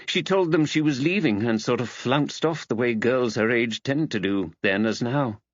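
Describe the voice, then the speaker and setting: A man voicing a thoughtful, easily dismayed woman writer in earnest, expressive audiobook dialogue. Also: medium pitch, normal speed, no echo, muffled recording, natural voice. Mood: earnest, pensive.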